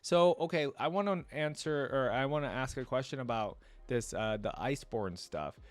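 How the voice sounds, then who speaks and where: A man's voice: mid-range voice, moderate pace, smooth. A man hosting a reaction video, reading text aloud and commenting on it in an informal, thinking-aloud way. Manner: informal, thinking aloud between read passages.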